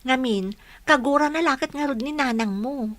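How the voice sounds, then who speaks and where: A woman acting a meek but determined young bride-to-be in an Ilocano comedy radio drama, soft-spoken and respectful. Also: sits high, moderate pace. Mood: resolved. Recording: clearly noisy audio.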